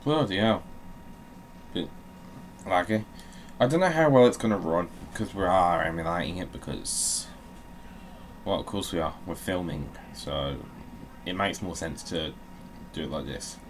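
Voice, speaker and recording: A man's voice: deep voice, expressive, measured pace, pauses often. A man hosting a casual gaming let's-play, grumbling drily and mocking himself. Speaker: video game show host. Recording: clear background noise.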